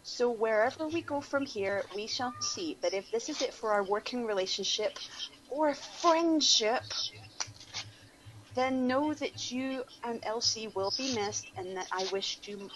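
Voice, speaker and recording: A woman's voice: a little high, a little slow. A woman on an online radio show giving a mocking dramatic reading, with sarcastic asides. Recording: noisy room ambience behind voice.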